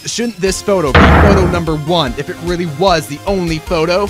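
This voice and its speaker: A man's voice: sits high, a little fast, lightly acted. A man playing an earnest, persistent young defense lawyer in an amateur fan dub of a game, questioning pointedly. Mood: reasoning. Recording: music playing behind the voice.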